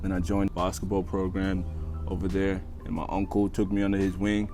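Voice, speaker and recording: A man's voice: sits low, monotone, fairly quick. A man in his twenties who learned English as a second language, telling his story casually as an interview guest, often repeating phrases for emphasis. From East Africa and Australia. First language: not English, learned it after moving to Australia. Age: 20s. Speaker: interview guest, college basketball player. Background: background music.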